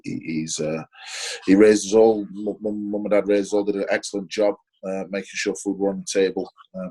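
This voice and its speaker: Sheffield guy in his 40s, low husky voice, lots of uhs and restarts, interview chat. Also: darkish tone, poor audio. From Sheffield, England. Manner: hesitant, many fillers and restarts. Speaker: interview guest, former footballer. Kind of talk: casual interview answers.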